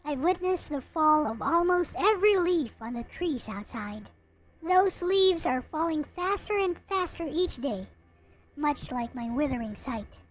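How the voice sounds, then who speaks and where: Woman voicing a high-pitched, gloomy, brooding young soul. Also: expressive, unhurried, rough recording. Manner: melancholy, brooding, theatrical. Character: gloomy, bitter, hopeless young person going blind, self-pitying narrator. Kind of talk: dramatic reading of a visual novel.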